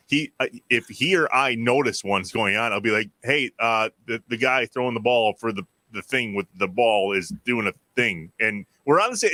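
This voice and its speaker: A man's voice: medium pitch, bright, forceful tone, dry recording. A middle-aged man with an American accent, hosting a casual, joking sports interview. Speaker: interview host.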